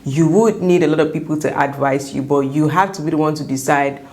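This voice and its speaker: Young Nigerian woman, low creaky voice. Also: steady tone, fairly quick, a little mellow. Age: young adult. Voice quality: slightly croaky. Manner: emphatic, repeats words for stress. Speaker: vlogger sharing first-time mom advice. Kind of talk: chatty advice vlog to camera.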